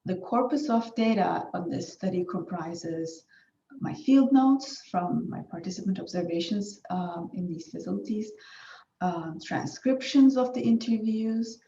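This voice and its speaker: A woman's voice: medium pitch, animated, unhurried, dark tone, a little hiss or hum. A woman whose first language is Turkish, giving a formal academic research presentation with frequent fillers and restarts. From Turkey. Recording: far-off dull echoey room recording.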